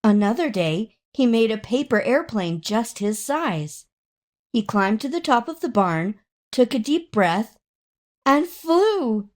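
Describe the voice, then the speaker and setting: A woman narrates a children's picture book in a fairly high voice. She takes it very slowly, stretching key words with expressive, theatrical emphasis. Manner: dramatic, with drawn-out emphasis and acted sound words. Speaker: storybook reader.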